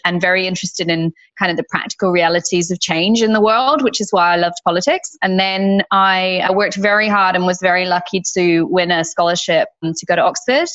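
A woman in her thirties from New Zealand with a New Zealand accent, a guest on an interview podcast, talking in an animated, emphatic way with lots of "like" and "right?". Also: lowish voice, highs cut off. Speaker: guest, childcare company founder.

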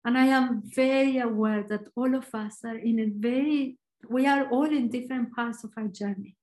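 A woman with an Argentinian accent giving a short, earnest devotional talk, reflective and pausing. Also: on the high side, steady tone, a little mellow. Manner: earnest, reflective, slow pauses. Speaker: church speaker.

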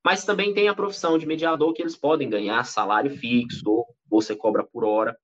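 A Brazilian man in his thirties, a guest in an interview, talking in a chatty, animated way with frequent restarts. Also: mid-range voice, a little fast. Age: 30s. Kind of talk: casual interview talk. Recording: dull lo-fi audio.

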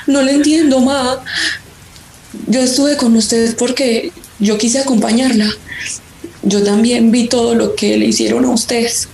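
Young daughter role: Colombian, high voice, troubled, questioning, insistent, roomy rainy scene. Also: female voice, fairly even intonation, a little slow, gravelly, darkish tone, a little hiss or hum. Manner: respectful yet insistent. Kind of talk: audio drama acting. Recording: roomy sound with rain and thunder.

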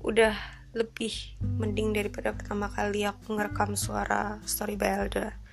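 A woman's voice: mid-range voice, moderate pace, creaky. Chatty young woman, light Javanese accent. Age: 20s. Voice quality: somewhat flat intonation. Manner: chatty, self-deprecating, drops English phrases. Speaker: podcast host. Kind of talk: casual solo podcast monologue. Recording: music playing under the voice.

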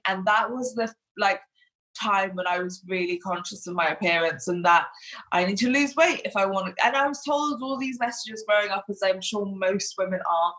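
A woman's voice: medium pitch, fairly bright tone, poor audio. A woman, grew up in Hong Kong, talking chattily as an interview guest and using lots of fillers. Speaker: guest, body confidence coach. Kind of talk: casual interview talk.

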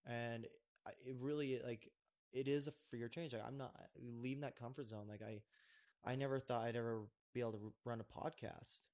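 A man's voice: medium pitch, steady tone, a little fast, darkish tone. A young man hosting a casual podcast chat, rambling with lots of fillers and restarts. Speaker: podcast host.

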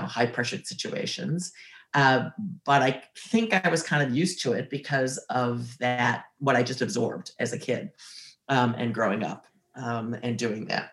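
A woman's voice: extremely low pitch, reverberant. A woman in her sixties from Ohio, a former litigator, reflecting on her past in an interview, with many fillers and restarts. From Ohio, USA. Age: 60s.

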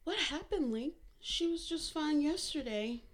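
A woman's voice: high voice, fairly even intonation, unhurried, pitched above the performer's own voice. Woman doing a worried young daughter.

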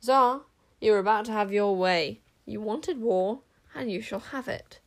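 A woman voicing a calm, dignified male enchanter king, measured and ironic, in an audiobook story. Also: medium pitch, natural voice. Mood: sad, resigned.

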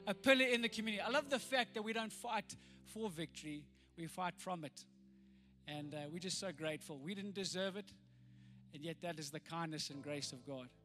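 A middle-aged South African man with a slightly croaky voice, praying and preaching a sermon with earnest, rising emphasis. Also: high voice, a little fast, sharp tone, soft background music. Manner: earnest, builds to rousing emphasis. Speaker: pastor. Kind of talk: sermon with prayer.

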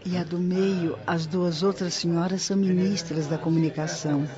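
A Brazilian actress playing a patient, helpful spirit guide in a radio drama, explaining things gently and formally. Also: female voice, fairly low pitch, fairly even intonation, normal speed, muffled recording, over music, lightly acted. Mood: patient, explanatory.

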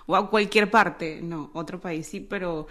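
A woman's voice: fairly low pitch, unhurried, a bit bright. A Venezuelan woman with a Venezuelan Spanish accent, co-hosting a casual podcast and chatting in an informal, slangy way. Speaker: podcast co-host. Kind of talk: casual, gossipy podcast chat.

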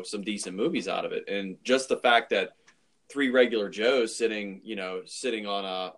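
Male podcast co-host, on the lower side, informal conversational delivery. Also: fairly bright tone. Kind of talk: casual podcast discussion.

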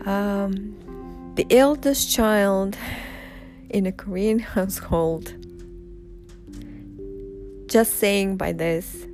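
Slow, husky-toned woman. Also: on the low side. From Uzbekistan. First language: Russian. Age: 40s. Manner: unhurried and reflective, with frequent pauses. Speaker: vlogger. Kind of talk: personal storytelling vlog. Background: background music.

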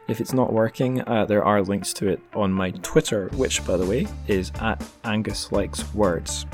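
A man hosting a podcast, chatting casually in a rambling, jokey, self-deprecating way. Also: fairly low pitch. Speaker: podcast host. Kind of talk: casual solo podcast outro, chatty plugs for the show.

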